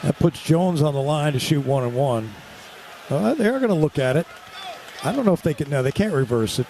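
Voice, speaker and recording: A man calling live radio basketball play-by-play in clipped, excitable running descriptions. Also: fairly high pitch, a little fast. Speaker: play-by-play announcer. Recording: courtside with loud crowd and gym noise. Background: crowd and arena ambience.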